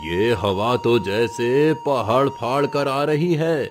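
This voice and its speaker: A man's voice: mid-range voice, animated, slow. A man playing a kindly old village uncle in a moral story, worried, sympathetic and encouraging. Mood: concerned, encouraging. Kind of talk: Hindi moral story voice acting. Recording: faint music behind the voice.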